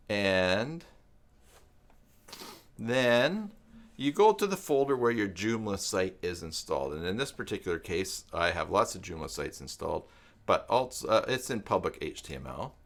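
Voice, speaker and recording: Guy with a lowish voice doing a casual screen-share livestream, kinda thinking out loud as he goes. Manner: rambling, thinking aloud while demonstrating. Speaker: live stream host and web tutorial instructor. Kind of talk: casual live screen-share tutorial with chat.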